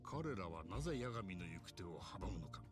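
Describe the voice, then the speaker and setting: A man's voice: low-pitched, sing-song, fast. A man performing as a grave, ominous narrator of a crime drama game, in scripted dramatic style over music.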